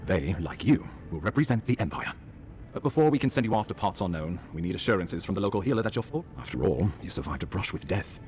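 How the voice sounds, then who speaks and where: Deep, formal imperial ambassador. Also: male voice, sing-song, fast, a little mellow, a little hiss or hum. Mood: advising. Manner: formal, stately wording. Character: grave, dutiful male ambassador of a fantasy empire. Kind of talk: dramatic video game dialogue.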